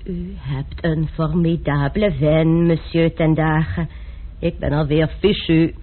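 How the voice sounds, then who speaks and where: A man's voice: on the high side, fairly even intonation. An actor playing a young male gambler and duelist who talks dryly and mockingly, in a radio play. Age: young adult. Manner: dry, mocking, unhurried. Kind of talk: radio drama acting. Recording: tinny lo-fi radio play recording with hiss.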